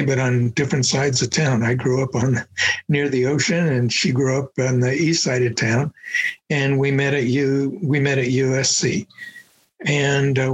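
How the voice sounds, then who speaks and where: An older man from Los Angeles, a retired professor, recalling his life in a reflective interview with many pauses and fillers. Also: medium pitch, husky, low-quality recording. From Los Angeles, United States. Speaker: guest, professor emeritus. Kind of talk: conversational interview.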